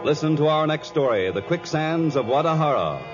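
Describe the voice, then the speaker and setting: A man's voice: on the high side, animated, a little fast. A man announcing formally in the style of 1950s radio credits. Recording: dull vintage radio recording. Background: music.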